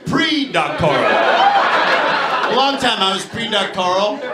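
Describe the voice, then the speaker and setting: High-voiced male stand-up comic working the crowd. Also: sing-song, over loud music. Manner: halting, teasing back-and-forth with audience. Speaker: stand-up comedian. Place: comedy club stage with audience laughter. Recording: roomy live club, loud crowd noise.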